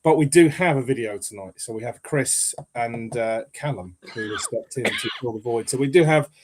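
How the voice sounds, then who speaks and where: Croaky chatty podcast co-host man. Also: medium pitch, lively intonation, normal speed, heavy background noise. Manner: chatty, jokey, repeats phrases. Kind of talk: casual football podcast chat.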